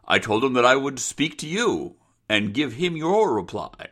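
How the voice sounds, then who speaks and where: A man's voice: mid-range voice, very animated, a little slow, fairly bright tone. A man voicing a stern, insistent father who speaks in firm, formal, old-fashioned language. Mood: formal, surprised. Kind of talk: dramatized reading of an old sentimental tale.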